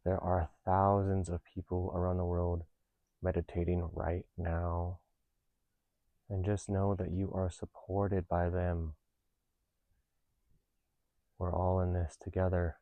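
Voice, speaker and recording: A man leading a guided meditation, giving gentle instructions in a calm, unhurried, reassuring manner. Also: low-pitched, dry recording, phone-quality sound. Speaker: meditation teacher.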